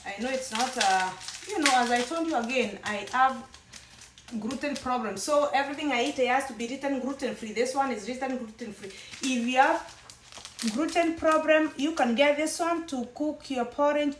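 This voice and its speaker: A Kenyan woman talks her way through a home-cooked meal, chatty and wandering, often starting a thought over. Her voice sits high. The kitchen rings around her and she sounds a little way off from the mic. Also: slight background noise. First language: Kenyan mother tongue. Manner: chatty, rambling, with frequent restarts. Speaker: cooking vlogger. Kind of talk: casual cooking demonstration. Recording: echoey room, mic at a distance.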